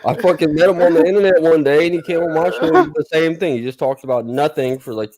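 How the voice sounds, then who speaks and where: A man's voice: on the high side, quick pace, crowd noise, poor audio. A man hosting a casual stream chat, teasing a co-host and telling stories in a joking manner.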